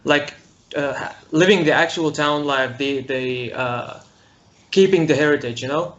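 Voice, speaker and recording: Halting young man from Syria. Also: fairly high pitch. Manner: halting, searching for words. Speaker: interview guest. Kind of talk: casual interview. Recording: muffled lo-fi recording.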